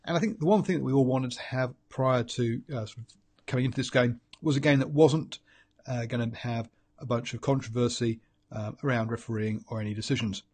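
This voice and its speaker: A man's voice: mid-range voice, fairly even intonation, fairly quick, darkish tone, breathy edge. A man with a New Zealand accent and a stuffy head cold, giving a rambling solo rugby match analysis full of ums and restarts. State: congested from a cold. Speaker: rugby pundit host.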